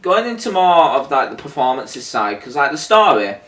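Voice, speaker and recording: A man's voice: on the high side, very animated, normal speed, a bit bright. A man chatting casually as he co-hosts a review, thinking aloud in a hesitant, rambling way. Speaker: co-host of a review discussion. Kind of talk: casual chat reviewing an audio drama. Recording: echoey room, distant mic, faint music behind. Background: faint music.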